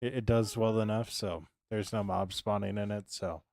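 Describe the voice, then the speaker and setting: A very low-pitched man talks through his game build on a livestream. His voice is hoarse and dry, and he rambles in a relaxed way, ending thoughts with loose fillers. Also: normal speed, no room sound. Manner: laid-back, rambling with trailing "so" and "yeah". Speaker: streamer. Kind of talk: casual livestream chat while building in a game.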